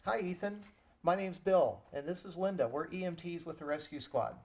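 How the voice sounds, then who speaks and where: A man's voice: a little high, animated, moderate pace, a little mellow. A man working as an EMT, talking a child patient through each step simply and reassuringly. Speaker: EMT with a rescue squad.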